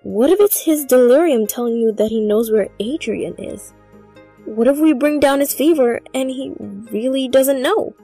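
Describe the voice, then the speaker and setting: A woman voicing a gentle, caring teenage friend in an animated comic dub, soft and breathy. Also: high voice, moderate pace, soft background music, heavily acted. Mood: worried.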